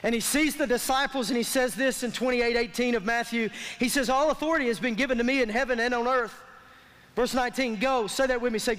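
A man's voice: extremely high pitch, a bit bright. A man, preaching a sermon as a pastor in an emphatic, colloquial, repetitive way that calls for response. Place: large church auditorium stage, indoors. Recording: long hall reverb from stage sound system.

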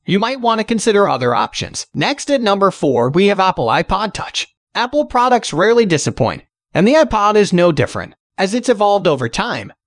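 A man's voice: high-pitched, no room sound, clean studio sound. A man reading a scripted product review as a voice-over in a polished, presentational way. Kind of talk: scripted promotional voice-over narration.

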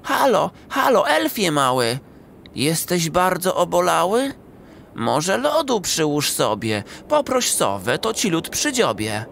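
A man voicing a caring but gruff forest creature in a children's radio play, giving urgent warnings. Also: a little high, very animated, slow, a bit bright, slight background noise, full character voice. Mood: concerned.

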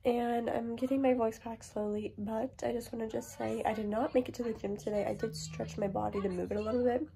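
A woman's voice: on the high side, steady tone, a little fast, vocal fry, hardly any pauses, dark tone. A young woman vlogging casually to her phone camera, chatty and rambling, with a voice worn by a cold. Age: young adult. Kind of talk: casual vlog chat to camera. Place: bedroom and car. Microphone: phone held at arm's length.

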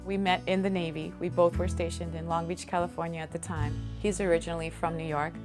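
Lower-voiced woman, earnest interview. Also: level tone, fast talker. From El Paso, Texas. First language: Spanish. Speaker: math teacher, Navy veteran. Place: classroom. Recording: music bed under interview.

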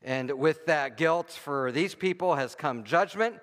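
A man's voice: a little high, fairly bright tone. Guy pastor preaching kinda casual, stop and start delivery, lots of hall reverb. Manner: halting, often pausing and restarting. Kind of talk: informal, conversational sermon. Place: church stage, indoors before a congregation. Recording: echoey hall, long reverb.

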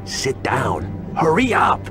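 An actor playing a scared, exasperated street informant in a video game, agitated and emphatic. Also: male voice, medium pitch, huge pitch swings, takes it slowly, over music. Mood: nervous, urgent. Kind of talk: video game cutscene acting.

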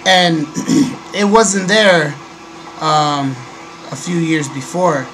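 A young man, hoarse from a cold, hosting a casual webcam vlog from a small bedroom into a desk microphone, pausing often with ums and clearing his throat. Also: on the high side, a bit bright. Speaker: host. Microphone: desk microphone on a stand. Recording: noisy home recording.

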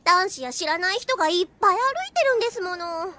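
Very high, prim anime girl. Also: lively intonation, fast talker, pushed and bright, exaggerated voice. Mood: timid, fretful. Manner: prim, redundant polite phrasing ending in desu wa. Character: shy, scheming girl with quirky prim speech. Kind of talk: anime audio drama acting.

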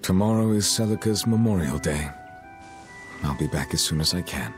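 Calm strict mentor swordsman from a game, deep voice, measured, music underneath. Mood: solemn. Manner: measured, solemn, instructive. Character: stern, calm swordsman mentor, adult man. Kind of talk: video game voice acting.

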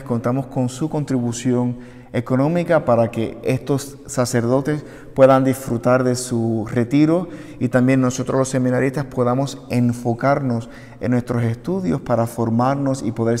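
A man's voice: mid-range voice, fairly even intonation, moderate pace, warm tone. A man in his thirties with a Puerto Rican accent, a deacon speaking earnestly to a congregation in a prepared appeal. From Puerto Rico. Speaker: deacon and seminarian. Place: small wood-panelled chapel, indoors, at a lectern. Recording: long echoey reverb of a hard-walled room.

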